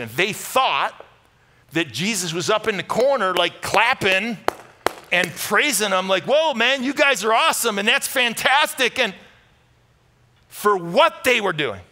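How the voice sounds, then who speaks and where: A middle-aged American man preaching a conversational sermon, emphatic and animated, moving between loud bursts and hushed asides. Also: high-pitched, a little slow. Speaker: pastor preaching. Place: large church auditorium stage with audience.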